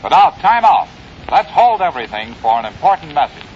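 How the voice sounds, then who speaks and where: A man's voice: a little high, sing-song, brisk. A man announcing a radio show in scripted 1950s broadcast style. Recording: dull, faintly noisy early-1950s radio recording.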